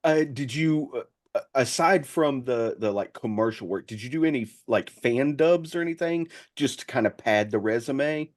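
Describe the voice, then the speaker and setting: A man's voice: medium pitch, normal speed. A man hosting a casual interview, feeling his way through questions with hesitant, stammering phrasing. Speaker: podcast interviewer.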